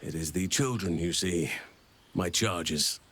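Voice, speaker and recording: An actor playing a gentle, wise, warm-hearted druid in a fantasy video game, speaking in formal, courtly, unhurried phrases. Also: male voice, low-pitched, slightly rough, full character voice. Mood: playfully earnest. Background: music.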